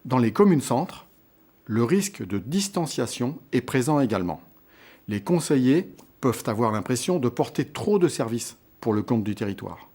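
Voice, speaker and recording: Mellow-voiced man narrating a lesson. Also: medium pitch. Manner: measured, structured, enumerating points in short phrases. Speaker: lecturer presenting on local governance. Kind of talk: scripted instructional narration.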